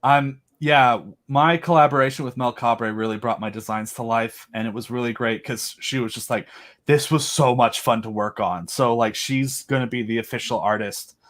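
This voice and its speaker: Guest spot: eager man, quick fan chatter, runs on, mid pitch. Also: a bit bright. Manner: enthusiastic, rambling fan talk. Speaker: podcast host and audio drama creator. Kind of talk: casual video chat interview.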